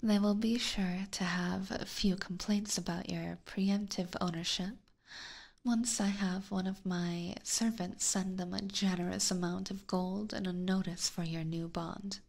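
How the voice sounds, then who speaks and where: A woman voicing a possessive, aristocratic vampire catgirl in a slow, seductive, teasing whisper for an ASMR roleplay, close on a binaural microphone. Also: medium pitch, steady tone, darkish tone. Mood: smug, persuasive. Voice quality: breathy whisper. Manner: slow, formal, coaxing.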